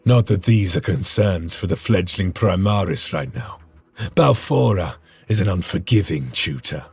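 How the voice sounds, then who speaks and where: An actor playing a grim veteran space marine sergeant, brooding and stern, with a husky voice. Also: male voice, sits low, animated, measured pace, dry recording, full character voice. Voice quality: gravelly, husky. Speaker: sergeant narrator. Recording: game cutscene with music.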